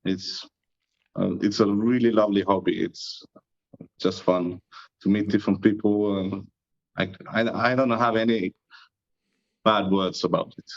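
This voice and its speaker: Estonian guy, low and gravelly, chill answers, kinda muffled audio. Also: steady tone. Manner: easygoing, unhurried, modest. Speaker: guest, disc golf YouTuber. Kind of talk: relaxed interview answers.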